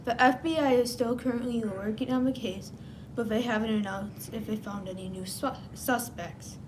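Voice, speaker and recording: A woman reading a scripted news report as an amateur reporter. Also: moderate pace. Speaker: news reporter in a student history project. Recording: noisy, with low rumble.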